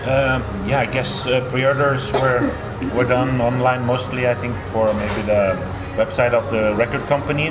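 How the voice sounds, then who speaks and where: A man's voice: mid-range voice, fairly even intonation, over music, rough recording. A middle-aged Norwegian man answering interview questions about his band, hesitant and full of restarts, at a table mic in a small festival room. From Norway. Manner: halting, repeats words, many fillers. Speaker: band member. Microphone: table mic in front.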